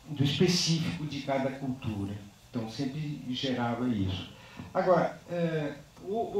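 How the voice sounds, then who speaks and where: A man with a fairly high voice speaks after a film screening in a halting, thoughtful way, tagging his phrases with questions. He is far from the microphone. His words ring through a large, very echoey room. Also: a little hiss or hum. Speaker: filmmaker speaking on a post-screening panel. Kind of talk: unscripted panel discussion.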